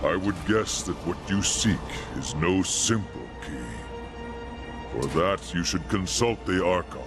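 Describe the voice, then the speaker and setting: Deep, solemn angel warrior. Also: male voice, animated, over music. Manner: measured, formal, solemn. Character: solemn male angel warrior guarding an outpost of light. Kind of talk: fantasy game voice acting.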